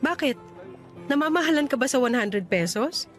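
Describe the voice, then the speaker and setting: A woman's voice: high-pitched, lively intonation, moderate pace, soft background music. A woman acting a put-upon, plain-spoken grown daughter in a radio drama. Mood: businesslike. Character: put-upon, frustrated single daughter managing mother's rentals.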